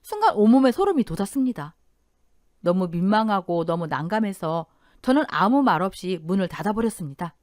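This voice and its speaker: A woman's voice: medium pitch, sing-song, fairly quick, a little mellow, no room sound, studio quality. A woman narrating a dramatic first-person story in radio-drama style, expressively.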